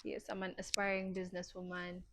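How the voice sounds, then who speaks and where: A young woman from Zambia, a university student, answering interview questions casually. She laughs lightly and grows more animated when talking about her cause. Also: lowish voice, fairly quick, noisy background. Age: young adult. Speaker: podcast guest.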